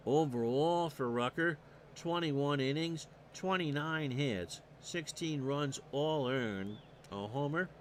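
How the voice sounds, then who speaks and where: A man doing solo play-by-play commentary on a replayed baseball game. He reads off stats with dry asides and gets louder on the big plays. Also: fairly high pitch, slight background noise. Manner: stat-heavy, dry asides, rising excitement on big plays. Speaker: play-by-play announcer.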